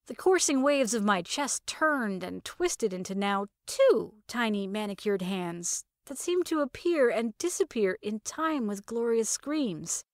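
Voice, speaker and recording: A woman with a fairly high voice narrates a first-person story. The delivery is scripted audio fiction, recorded close and dry with very little room sound. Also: very animated, a little slow, few pauses, no room sound.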